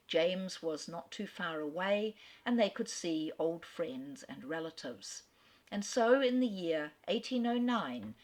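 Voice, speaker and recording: Woman, literary lecturer, lowish voice, animated intonation, storytelling. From Australia. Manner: expressive, lively storytelling, acts out quotes. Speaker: lecturer on authors.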